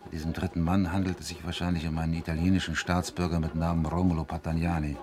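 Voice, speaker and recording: An actor playing a brooding, wry middle-aged police inspector in a radio drama, talking and narrating in a dry manner. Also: male voice, low-pitched, flat delivery, fast, lightly acted. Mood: dry, businesslike. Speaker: lead character and first-person narrator. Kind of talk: German radio drama acting.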